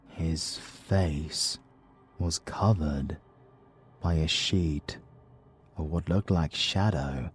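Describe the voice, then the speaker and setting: Deep raspy british guy reading an audiobook, slow and dark toned. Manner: slow, deliberate, gravelly storytelling. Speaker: audiobook narrator. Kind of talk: scripted narration.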